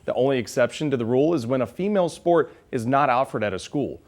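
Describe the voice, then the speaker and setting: A man's voice: medium pitch, expressive, fast, darkish tone. A man in his twenties with an American accent, delivering scripted reports as a television news reporter.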